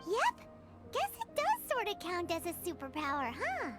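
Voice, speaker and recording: A woman voicing a tiny, chirpy and curious floating fairy girl companion in a game, with a squeaky, bouncy way of exclaiming things. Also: huge pitch swings, normal speed, heavily acted. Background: game music.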